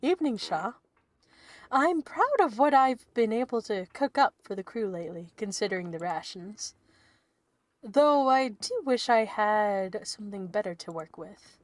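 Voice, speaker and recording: A woman reading the lines of a male ship's cook who is proud of his work, in a low, quiet, plain voice. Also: lively intonation, a little slow, dry recording, close to an everyday voice. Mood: wistful.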